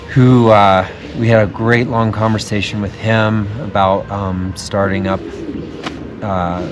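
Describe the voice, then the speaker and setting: A man hosting a travel vlog, talking casually and reflectively to camera. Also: low voice. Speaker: travel vlog host, nonprofit representative. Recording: clear background ambient noise.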